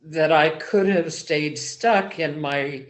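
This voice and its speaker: A man talks through his thoughts in a casual book discussion group, slow and hesitant, with fillers and restarts. His voice sits a little high for a man, and his pace is unhurried and drawn out. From small-town background. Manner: slow, halting, many pauses and fillers.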